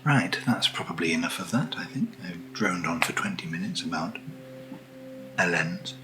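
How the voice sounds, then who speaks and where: A British man speaking softly and breathily in casual ASMR style, unhurried and gently rambling as he hosts. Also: sits low, low-quality recording. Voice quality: breathy, whispery. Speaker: host of ASMR unboxing videos. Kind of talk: soft-spoken ASMR, casual chat. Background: underlying music.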